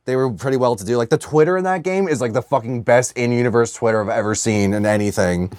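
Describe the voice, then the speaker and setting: A man with a mid-pitched voice talks casually as a comedy podcast co-host. He rambles in slangy, sweary bursts, and the sound is close and dry with no room echo. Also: fast talker, darkish tone.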